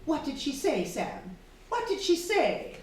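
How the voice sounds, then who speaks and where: A woman's voice: high-pitched, huge pitch swings, unhurried, darkish tone, exaggerated voice. A woman playing a domineering, suspicious and fearful wife in a radio drama, with an agitated, demanding, theatrical delivery. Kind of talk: stage radio drama acting. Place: theatre stage before a live audience. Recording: very echoey hall, distant, faint noise.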